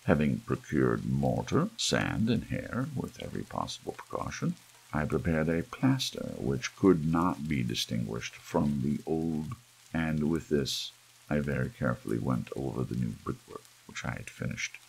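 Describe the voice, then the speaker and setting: A man with a gravelly, husky voice reads a horror story aloud in a theatrical, brooding ASMR audiobook style, with emphatic swells. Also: extremely low pitch, big pitch swings, normal speed, low-quality recording. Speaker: audiobook reader. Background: soft rain.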